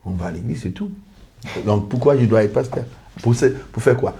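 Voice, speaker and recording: Earnest pastor. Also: male voice, medium pitch, expressive, moderate pace, gravelly, mellow. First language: English. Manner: earnest, repetitive, lists in short clauses. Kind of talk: testimony in a podcast conversation.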